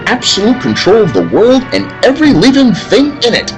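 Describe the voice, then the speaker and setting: A man's voice: high voice, huge pitch swings, a little fast, lo-fi sound, over music. A man acting a grandiose, gloating villain bent on ruling the world, in an old-time radio drama style. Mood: gloating triumph. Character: male megalomaniac mad villain seeking world domination.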